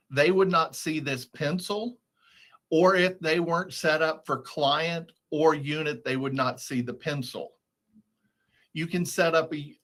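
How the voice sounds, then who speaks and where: A man with a bright, forward voice leads a software tutorial over a video call. He speaks slowly and a little high for a man, explaining each step in a matter-of-fact, instructional way. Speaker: software support trainer. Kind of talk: screen-share software walkthrough, instructional.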